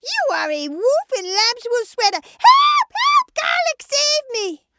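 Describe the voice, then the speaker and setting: A woman voicing a prim, excitable storybook sheep housewife, melodramatic and easily indignant. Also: a little slow, heavy background noise, heavily acted. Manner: indignant, melodramatic, shrill when alarmed.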